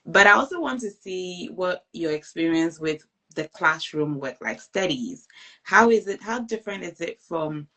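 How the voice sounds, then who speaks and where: A woman's voice: lowish voice, animated, normal speed, sharp tone. A woman, conversationally hosting an interview as an education consultant.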